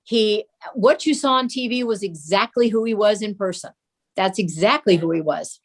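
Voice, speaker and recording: A woman's voice: medium pitch, moderate pace. An older woman talking as an interview guest, recalling family memories conversationally. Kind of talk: reminiscing in conversation.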